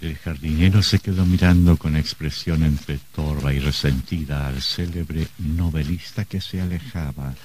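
A man narrating a suspenseful radio drama in a solemn, measured, theatrical way. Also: rumbling low, fairly even intonation, darkish tone, a little hiss or hum.

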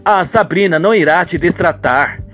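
A man's voice: on the high side, normal speed, a bit bright, faint music behind, natural voice. A man playing a smitten, reassuring young boyfriend in a radio soap, earnest and romantic.

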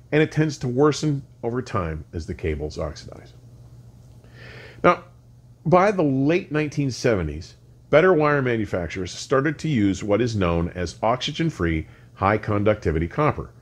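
An older man presents a scripted lesson as the host of an explainer series. His pitch is mid-range and swings widely as he stresses key words. His phrasing is deliberate and exact. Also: breathy edge. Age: older, around 60. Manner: measured, deliberate, emphatic phrasing with precise wording. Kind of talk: scripted educational explanation to camera. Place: furnished home listening room, indoors.

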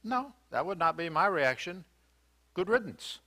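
Older man, church preacher, bright tone, earnest questions, halting fillers, sanctuary. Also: high-pitched, big pitch swings. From United States. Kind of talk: sermon.

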